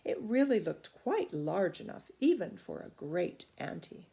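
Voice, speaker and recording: A woman reading a children's story aloud in expressive narration. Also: fairly low pitch, unhurried, creaky.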